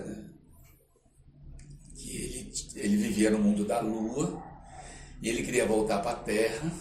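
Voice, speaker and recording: A man's voice: medium pitch, darkish tone. A Brazilian man chatting as a podcast guest, telling stories in a reflective, rambling way with frequent checking tags. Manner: rambling, reflective, often ending phrases with a checking tag. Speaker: interview guest, performing artist. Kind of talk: casual storytelling in a podcast interview. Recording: echoey room with faint noise.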